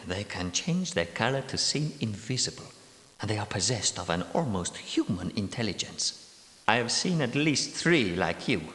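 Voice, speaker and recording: A man voicing a bitter, secretive knight guardian who is centuries old, speaking in a measured, world-weary way in a game's voice lines. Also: fairly low pitch, fairly bright tone. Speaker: game character. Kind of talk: game character voice lines. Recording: echoey reverberant room.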